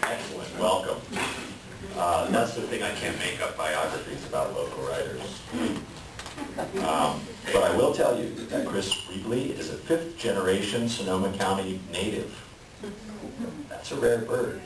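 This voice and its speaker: A man's voice: low-pitched, moderate pace. A man giving a chatty, wryly joking introduction to an audience as host of a play reading. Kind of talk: informal stage introduction to an audience. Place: library event room. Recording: echoey room, distant mic, clear background noise.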